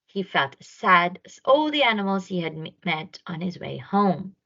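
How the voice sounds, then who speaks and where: A woman narrating a children's picture book aloud in an expressive storytime style. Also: fairly low pitch, normal speed, gravelly, lo-fi sound.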